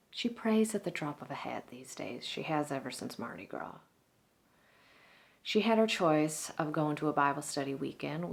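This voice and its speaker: Southern salon owner, played by a woman, stage monologue, slightly low voice, warm-hearted, gossipy, folksy, confiding. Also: vocal fry. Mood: wry, hushed.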